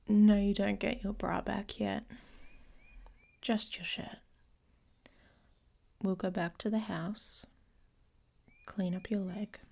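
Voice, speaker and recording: Woman acting a whispering, husky, dominant she-wolf. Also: mid-range voice, darkish tone. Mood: teasing, commanding. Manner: slow, commanding, teasing. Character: dominant, teasing adult female werewolf lover. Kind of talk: intimate ASMR audio roleplay.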